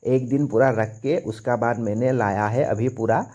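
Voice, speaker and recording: Young man, medium pitch, informal how-to narration, repetitive step-by-step explaining. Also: fairly even intonation, moderate pace, darkish tone, husky. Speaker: carpenter demonstrating on own channel.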